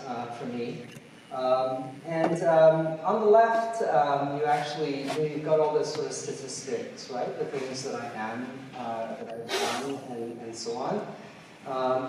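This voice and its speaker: Middle-aged guy giving a keynote, lots of ums, far off mic in a big echoey hall, faint hum. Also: medium pitch. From Trinidad and Tobago. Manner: hesitant, many fillers and restarts. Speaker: keynote speaker. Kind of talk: conference keynote talk. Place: large lecture hall.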